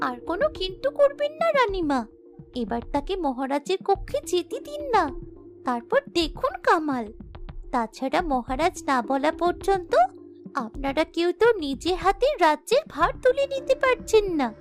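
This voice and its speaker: A brave young princess of a fairy-tale cartoon, speaks very high and with big melodic swings. She is tender yet resolute, earnest and theatrical. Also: sing-song, normal speed, faint music behind. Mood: persuasive.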